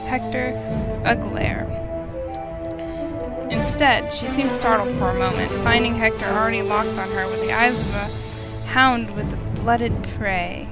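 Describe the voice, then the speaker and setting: A woman's voice: a little high, steady tone, measured pace. A woman reading scripted story narration as the narrator of a visual novel. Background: music.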